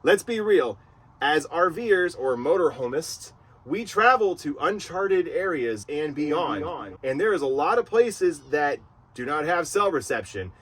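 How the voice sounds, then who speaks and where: A man's voice: fairly high pitch, very animated, measured pace, fairly bright tone, breathy edge. A man hosting a casual product review vlog in an enthusiastic way. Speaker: video host reviewing gear.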